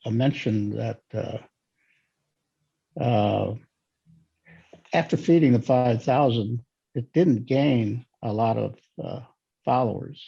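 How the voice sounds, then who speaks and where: A man sharing thoughts in a Bible study discussion, halting and searching for his words. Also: mid-range voice, gravelly, dark tone, breathy edge, lo-fi sound. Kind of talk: casual group discussion.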